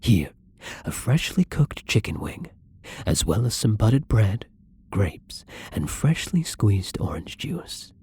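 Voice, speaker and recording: A man acts out a tender male vampire in an intimate ASMR roleplay. His voice is very husky and breathy, sitting fairly low with a mellow, dark tone. He speaks softly and caringly, as if to someone close by. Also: sing-song, takes it slowly, heavily acted. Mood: earnest, apologetic. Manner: soft-spoken, tender, breathy.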